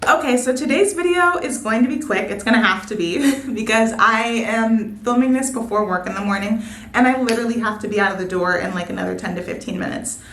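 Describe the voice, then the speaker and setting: A woman's voice: on the high side, a little fast, a bit bright, echoey room, soft background music. Chatty young woman with a sore throat. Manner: chatty, candid, self-deprecating. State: getting sick, sore throat. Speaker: nursing student vlogger. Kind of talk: casual coffee-and-chat vlog.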